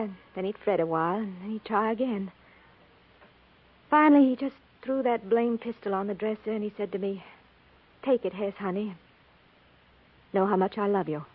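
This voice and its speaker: An actress playing a fearful, grieving young frontier wife in a 1950s radio western, speaking hesitantly with a tremor. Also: female voice, medium pitch, breathy edge, phone-quality sound, natural voice. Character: frightened, grieving young frontier wife, new to the hard life. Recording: scratchy, phone-thin 1950s radio recording.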